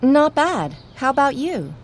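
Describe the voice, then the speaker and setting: A woman reading her side of a scripted course dialogue with expressive, careful responses. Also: high-pitched, a little slow, slight background noise.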